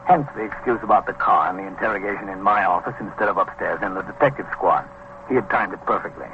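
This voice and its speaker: An actor playing a terse, matter-of-fact American police captain, giving precise procedural narration in an old-time radio drama. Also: male voice, low-pitched, quick pace. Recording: muffled, slightly noisy vintage radio recording.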